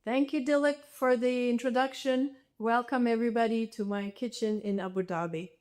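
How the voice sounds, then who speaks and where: A woman's voice: a little high, steady tone, moderate pace, darkish tone. A woman from Turkey leading a casual cooking demonstration in her home kitchen, speaking in a friendly, hesitant way full of ums, over a video call. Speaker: home cook presenter.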